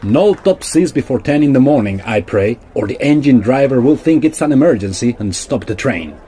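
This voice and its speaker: An actor playing a bold, roguish Victorian opera singer in a comic audio drama, droll and theatrical. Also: male voice, mid-range voice, lively intonation, moderate pace, warm tone, lightly acted. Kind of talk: period comedy audio drama acting.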